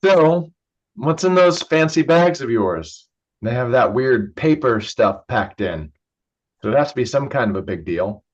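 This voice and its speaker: A man's voice: mid-range voice, animated, measured pace. An actor playing a polite, modest, guarded young man in a virtual staged reading. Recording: dull, lo-fi online call audio.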